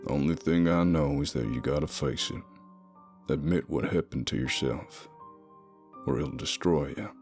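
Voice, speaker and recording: A man narrating a first-person soldier horror story in a measured, low storytelling manner. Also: a little mellow, faint music behind.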